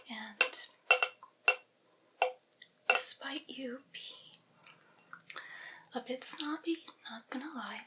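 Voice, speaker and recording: A woman performing an ASMR roleplay as a doting, patient familiar, soothing and coaxing in a gently reassuring way. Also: high-pitched. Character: doting, patient female vampire's familiar soothing their master. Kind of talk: whispered ASMR personal-attention roleplay.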